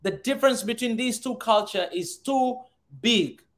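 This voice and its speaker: High-voiced Tanzanian man, earnest host. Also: a little slow, a bit bright. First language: Swahili. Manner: earnest, repeats words while thinking. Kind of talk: casual conversation.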